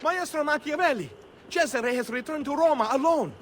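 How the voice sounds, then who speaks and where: An actor playing an urgent assassin messenger, calling out breathlessly in a game cutscene. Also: male voice, very high voice, animated, brisk, slight background noise. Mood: alarmed. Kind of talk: dramatic game cutscene acting.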